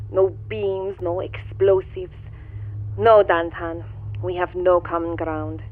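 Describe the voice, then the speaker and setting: An actress playing a proud, doomed young alien woman, grave and formal, heard through a radio-like filter. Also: on the low side. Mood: proud, cold. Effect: radio-like filter over a viewing screen. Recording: thin, phone-like radio-filtered sound.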